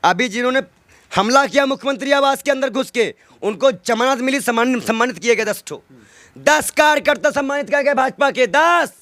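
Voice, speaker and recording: A man's voice: very high-pitched, lively intonation, normal speed, fairly bright tone, no room sound. A man giving an impassioned political interview, arguing indignantly with rhetorical questions and emphatic repetition.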